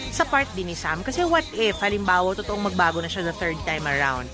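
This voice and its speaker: A woman's voice: on the low side, expressive, brisk, music in the background. A woman with a Filipino accent co-hosting a radio show, chatting casually and giving relationship advice in a playful, teasing, slangy way, switching between languages. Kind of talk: casual relationship advice chat with Tagalog-English code-switching.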